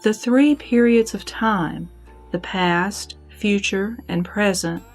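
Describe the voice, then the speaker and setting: A woman playing a serene, wise heavenly goddess in a scripted sutra audio drama, teaching in a measured, declamatory way. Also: medium pitch. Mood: calm, assured. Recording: background music under the voice.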